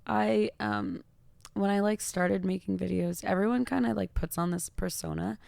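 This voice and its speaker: A woman with a naturally raspy voice, chatting openly and reflectively as a podcast guest, with lots of fillers. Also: mid-range voice, fairly even intonation, moderate pace, a little mellow, no room sound. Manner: open, reflective, heavy use of fillers. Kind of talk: casual podcast chat.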